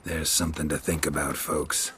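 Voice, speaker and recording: An actor playing a grim, gruff mutant monster hunter in a video game, gravelly and coolly menacing. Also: male voice, rumbling low, level tone, normal speed, fairly bright tone, slight background noise. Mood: cold challenge.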